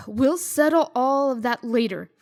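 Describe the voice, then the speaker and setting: A woman playing a stern, exasperated official in a comic dub, brisk, scolding and authoritative. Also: fairly high pitch. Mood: weary, commanding. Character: stern, exasperated, businesslike female city official.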